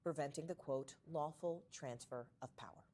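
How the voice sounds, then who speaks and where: A woman anchoring a television news segment with a measured, polished delivery. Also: low voice, mellow, slight background noise.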